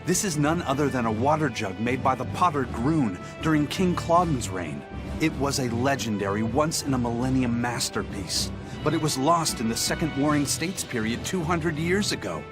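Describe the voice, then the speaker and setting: An actor playing an enthusiastic antiques connoisseur adventurer in a video game, lecturing in a pompous, reverent way. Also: male voice, medium pitch, animated, normal speed, close to an everyday voice. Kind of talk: English-dub video game acting. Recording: loud music behind.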